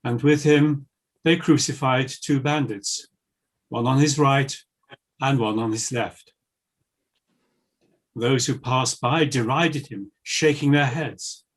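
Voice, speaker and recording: Male narrator for a scripture reading: husky, medium-pitched, grave and formal. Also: steady tone. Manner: measured, formal.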